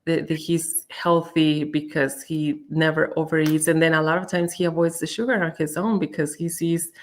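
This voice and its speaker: A woman's voice: deep voice, little pitch movement, moderate pace, a little hiss or hum. A woman talking candidly as a guest on a live stream, chatty, with many fillers and restarts. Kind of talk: casual chat on a live stream.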